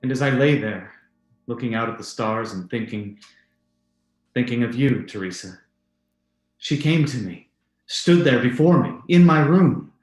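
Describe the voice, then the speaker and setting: A man's voice: mid-range voice, lively intonation, a little mellow, natural voice. A man playing an earnest, haunted husband, roomy sound. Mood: awed, intense. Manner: halting, intense, formal theatrical delivery. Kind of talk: old-time radio drama acting.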